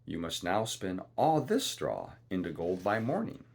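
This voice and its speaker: A man acts out a greedy, threatening fairy-tale king. He is commanding and forceful, with a husky voice and dramatic swings in pitch. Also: sing-song, unhurried, full character voice.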